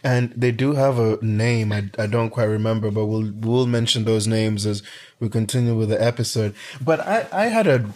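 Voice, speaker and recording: Young guy from kenya telling his story on a podcast, kinda low voice, lots of uh and restarts. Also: moderate pace, darkish tone. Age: 20s. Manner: storytelling, frequent restarts and fillers. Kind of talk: casual conversation.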